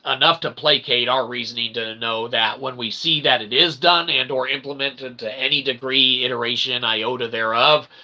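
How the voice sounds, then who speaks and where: A man giving rambling, irreverent opinion commentary in wordy, repetitive, slangy phrasing, often checking in with a quick tag question. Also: mid-range voice, fairly even intonation, sharp tone, low-quality recording. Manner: punctuates points with "okay?" and "all right?". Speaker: host of a commentary channel.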